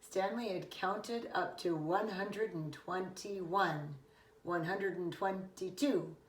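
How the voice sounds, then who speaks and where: A middle-aged woman reads a children's story in a roomy, echoing space, a little away from the microphone. Her delivery is that of a preschool teacher, deliberate and expressive, with key words clearly stressed. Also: on the low side, a little hiss or hum. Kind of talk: children's picture book read-aloud. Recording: echoey room, distant microphone.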